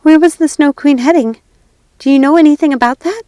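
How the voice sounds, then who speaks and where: A woman playing a gentle, anxious young girl in a storybook, with a pleading, urgent manner. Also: sits high, a little fast, no room sound, clean studio sound, pitched above the performer's own voice, natural voice. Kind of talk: storybook character voice.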